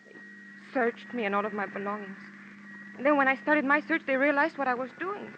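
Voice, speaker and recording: A woman as a high-voiced, pleading femme fatale. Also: a little fast, dull audio, background noise, lightly acted. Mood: anxious, pleading. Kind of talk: old-time radio detective drama acting.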